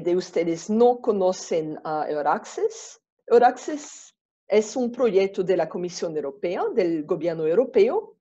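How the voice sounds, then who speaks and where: A woman with a Brazilian Portuguese accent gives an online presentation in a slow, careful way, pausing to find her words. Her voice is mellow and unhurried. The video-call sound is dull and lo-fi. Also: mid-range voice. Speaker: webinar presenter.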